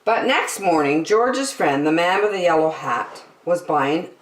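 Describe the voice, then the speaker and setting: A woman reads a picture book aloud with dramatic emphasis. Her voice sits a little low but swings widely in pitch. The room is noticeably echoey. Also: lively intonation, slight background noise. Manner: dramatic, with emphatic slowed phrases. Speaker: children's story reader.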